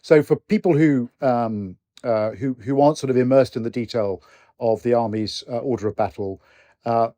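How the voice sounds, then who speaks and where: Mellow-voiced older man, hesitant co-host. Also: mid-range voice, normal speed, dry recording. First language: Norwegian. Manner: hesitant, many restarts and fillers. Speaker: co-host, retired general. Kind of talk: casual podcast chat.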